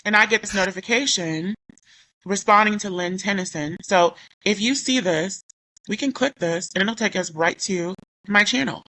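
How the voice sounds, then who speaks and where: A woman's voice: mid-range voice, moderate pace, sharp tone, low-quality recording. A woman recovering from losing her voice, talking indignantly in a commentary vlog and reading her own comments aloud, often correcting herself mid-sentence. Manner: indignant, rambling with self-corrections. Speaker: YouTuber.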